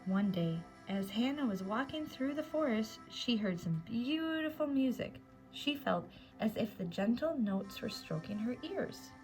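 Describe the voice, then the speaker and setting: A woman telling stories to young children as a library storyteller, with simple, encouraging address and an animated picture-book reading style. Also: a little high, unhurried, soft background music, poor audio.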